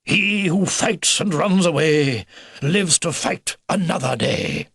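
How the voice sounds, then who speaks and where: A man voicing a kindly, excitable old grandfather beaver with a hearty, old-fashioned military manner, in a storybook reading. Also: on the high side, slow pace, gravelly, breathy edge, no echo, clean studio sound, voice pushed up, exaggerated voice. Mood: excited, hearty. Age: older.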